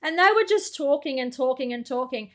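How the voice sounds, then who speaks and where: A woman's voice: high voice, animated, a little fast, fairly bright tone. A young Australian woman hosting a reaction video, chatting casually and calling everyone "mate". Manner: chatty, says "mate" often, laughs easily.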